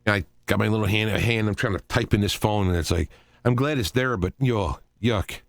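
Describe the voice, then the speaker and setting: A man hosting a talk radio show solo, speaking off the cuff in a halting, rambling way, often repeating and restarting phrases. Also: lowish voice, creaky, dry recording.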